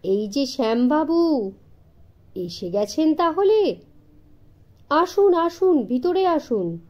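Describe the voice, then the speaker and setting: Lady doing an old servant guy from a storybook, bossy and familiar, high swoopy voice, slightly muffled. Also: sing-song, slow, darkish tone, exaggerated voice. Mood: jovial welcome. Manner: insistent, familiar, scolding. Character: old male house servant, jovial, pushy, exasperated.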